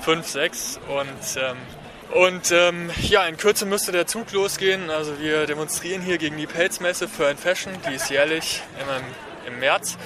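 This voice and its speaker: Male activist interviewee, higher-pitched, colloquial, halting, clear street noise behind. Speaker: animal rights activist being interviewed. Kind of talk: casual, informal spoken interview. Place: outdoors at a city square. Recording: noisy outdoor street recording.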